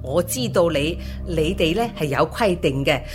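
A woman acts a fussy, affectionate granny of eighty in a Cantonese radio play. Her slightly low voice coaxes and scolds fondly in a Hong Kong accent, with music clearly behind. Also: animated, darkish tone. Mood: insistent, confiding. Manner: drawn-out, coaxing, scolding fondly. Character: doting, nagging eighty-year-old grandmother, fussy and affectionate. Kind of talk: Cantonese audio drama acting.

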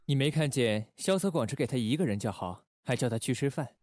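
A man playing a calm, shrewd secret-service station chief with understated authority in a radio drama. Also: medium pitch, fairly quick, dry recording, natural voice. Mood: wary.

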